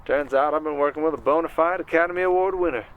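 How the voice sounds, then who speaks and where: Man acting a slick, higher-voiced charming conman. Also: fast, clean tone, narrow, tinny audio, natural voice. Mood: breezy, cocky. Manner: slick, suave, old-fashioned wiseguy endearments. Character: smooth, cocky male Vegas conman, charming and flirtatious. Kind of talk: ASMR roleplay acting, intimate monologue.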